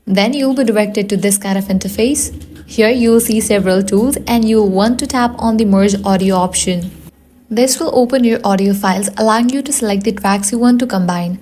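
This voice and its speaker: A woman's voice: mid-range voice, normal speed, mellow. A woman presenting a scripted tutorial, giving instructions step by step in narration.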